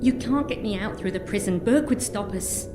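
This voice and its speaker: A woman acts a frightened game scientist with an average-pitched voice, anxious and hesitant. The room echoes around her and music plays clearly behind. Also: moderate pace, close to an everyday voice. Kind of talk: video game dramatic acting.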